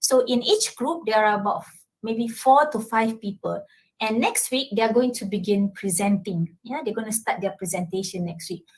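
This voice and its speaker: A woman's voice: mid-range voice, moderate pace, low-quality recording. Malaysian woman lecturer, checking in constantly. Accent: Malaysian English. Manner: frequent tag questions like "yeah?" and "all right?". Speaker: university lecturer. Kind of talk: answering questions at a talk.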